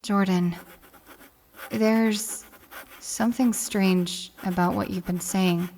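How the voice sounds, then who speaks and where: A creaky-voiced grieving letter writer. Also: female voice, medium pitch, fairly even intonation, slow, a little mellow, slight background noise. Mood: uneasy. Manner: emotional, hesitant, repeating phrases. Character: grieving letter writer, wistful, loving, doubting. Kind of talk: audio drama acting.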